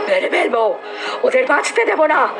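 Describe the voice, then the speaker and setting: A woman's voice: sits high, big pitch swings, moderate pace, music in the background. A woman playing a possessed woman, the vengeful spirit of a burned mother, muttering and wailing as she repeats her words in a horror audio story. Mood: vengeful, menacing.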